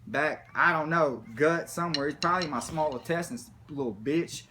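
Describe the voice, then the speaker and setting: A man telling a comic personal story in a rambling, slangy, profane way with animated retelling. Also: fairly high pitch, normal speed. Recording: background noise, room ambience.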